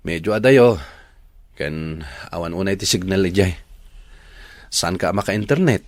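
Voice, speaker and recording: A man's voice: sits low, expressive, unhurried, dry recording, clean studio sound. An actor playing an easygoing young man, a devoted son, in a Filipino radio drama, with a Filipino accent. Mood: coaxing, upbeat.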